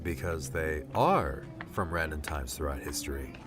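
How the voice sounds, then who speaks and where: Audiobook guide role, male performer: deep man, animated delivery, matter-of-fact and dryly impatient, over clear music. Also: normal speed. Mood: dryly sarcastic. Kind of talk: audiobook character dialogue. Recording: music behind the voice.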